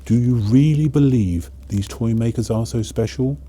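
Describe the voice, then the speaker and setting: A man voicing a stern but kind elder elf leader in a storybook, speaking in a measured, questioning way. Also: medium pitch, dark tone, slight background noise, close to an everyday voice. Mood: grave doubt.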